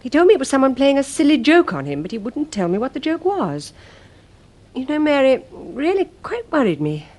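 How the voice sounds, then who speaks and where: An actress playing a breezy, teasing English society wife in a radio drama. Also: female voice, high-pitched, sing-song, fairly quick, a little mellow. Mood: worried, confiding. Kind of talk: BBC radio drama acting.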